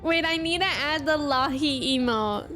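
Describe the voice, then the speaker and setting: Young woman streamer, kinda high voice, slow chatty talk, game music on. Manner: chatty, excitable, says "like" a lot. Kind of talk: casual gameplay commentary.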